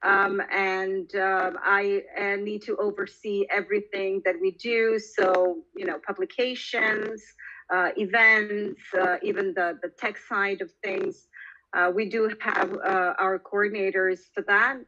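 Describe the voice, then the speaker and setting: A woman from Bosnia and Herzegovina in her forties, a longtime language teacher, answering in a podcast interview with many pauses and fillers. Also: mid-range voice, fairly even intonation, pushed and bright, dull audio.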